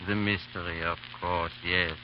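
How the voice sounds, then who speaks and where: An actor playing a mild, evasive old priest with an understated, hesitant manner, in 1930s radio drama style. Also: male voice, sits low, little pitch movement, moderate pace, natural voice. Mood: cautious. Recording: muffled, noisy old 1930s radio broadcast.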